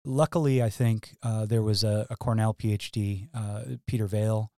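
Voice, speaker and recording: Low-voiced guest scholar from Wisconsin. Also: male voice, moderate pace, no room sound, studio quality. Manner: relaxed storytelling with fillers and chuckles. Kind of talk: casual academic interview.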